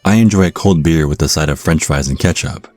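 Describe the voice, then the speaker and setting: A man's voice: very deep voice, a little fast, darkish tone, faint music behind. An actor with an American accent playing an awkward young man on a date in an audio roleplay, apologetic and prone to stammering. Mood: nervous, chuckling. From United States. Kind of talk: audio roleplay acting and first-person narration.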